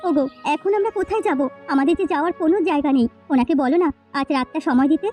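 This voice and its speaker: Cartoon role: actress as anxious, gentle village mother, very high soft-toned voice, quick plaintive delivery. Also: soft background music, natural voice. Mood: anxious, pleading. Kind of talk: Bengali cartoon folk-tale dialogue acting.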